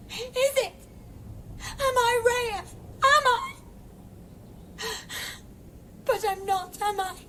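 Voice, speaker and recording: An actress playing an anguished, fragile woman who doubts who she is, in a halting, raw radio drama performance. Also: very high-pitched, a bit bright, a little hiss or hum, full character voice. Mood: anguished, tearful. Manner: halting, intense, emotionally raw. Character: Rhea, anguished, fragile woman doubting her own identity.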